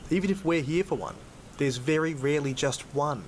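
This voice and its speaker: A man acting as a gruff, world-weary cane toad hunter in an audio drama, with an Australian accent and a blunt, jaded manner. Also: on the high side, fairly quick, slight background noise, close to an everyday voice. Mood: blunt, angry. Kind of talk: first-person narration and dialogue in an audio drama.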